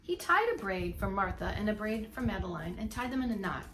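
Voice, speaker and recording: A woman with a medium-pitched voice reads a children's story aloud in a teacherly way. She stresses words expressively and speaks directly to her young listeners. Faint ambient noise sits behind her. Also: very animated, fairly quick, a bit bright. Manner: emphatic storytelling with asides to listeners. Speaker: preschool teacher reading aloud.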